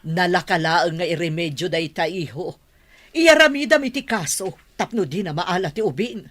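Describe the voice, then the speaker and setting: A woman's voice: on the low side, expressive, normal speed, a bit bright. A woman playing a stern, haughty older mother with a commanding manner in a radio drama. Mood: scheming, consoling. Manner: scolding, commanding.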